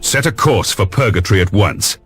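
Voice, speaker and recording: An actor playing a senior space navy commander in a video game, stern, formal and authoritative. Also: male voice, fairly low pitch, lively intonation, a little fast, fairly bright tone, soft background music. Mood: stern, resolute. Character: authoritative senior male Lord Admiral, stern and resolute. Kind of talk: dramatic video game voice acting.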